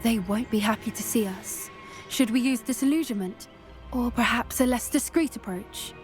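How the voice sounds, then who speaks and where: A woman's voice: on the high side, moderate pace, soft background music, lightly acted. A woman playing a brave, curious teenage witch in a video game, earnest and inquisitive in manner. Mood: cautious. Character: brave, curious teenage witch, indignant about animal cruelty. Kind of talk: video game character acting.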